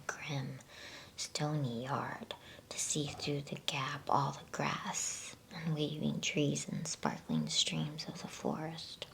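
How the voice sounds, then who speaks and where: A woman narrates a storybook as bedtime ASMR. Her voice is a breathy, lowish whisper at an unhurried pace. The recording carries a faint low rumble. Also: rough recording.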